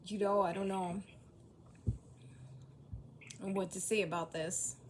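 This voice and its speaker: Woman, mid pitch, hesitant and unsure, faint background noise. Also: steady tone, slow. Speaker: mother. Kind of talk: casual conversation.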